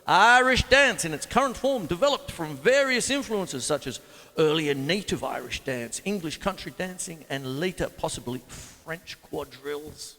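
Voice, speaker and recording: Male drum teacher, chatty and jokey, a touch high-voiced, reverberant home studio with faint music. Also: lively intonation, moderate pace, bright, forceful tone. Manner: chatty, rambling, jokey asides. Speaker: drum teacher and show host. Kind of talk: casual live-to-camera drum lesson.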